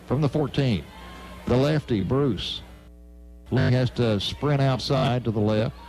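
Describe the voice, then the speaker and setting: A man's voice: medium pitch, takes it slowly, music in the background. An American man doing live radio play-by-play commentary of a football game.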